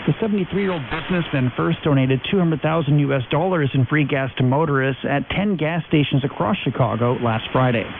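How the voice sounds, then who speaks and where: A man's voice: on the high side, brisk, few pauses. A man reading scripted news as an anchor. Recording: narrowband shortwave radio with light noise.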